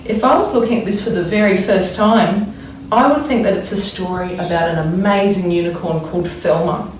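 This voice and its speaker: A woman teacher reading a storybook aloud to young children, expressively and deliberately, pausing to wonder aloud about it. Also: lowish voice. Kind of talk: expressive children's read-aloud with think-aloud explanations. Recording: echoey room, distant mic, faint noise.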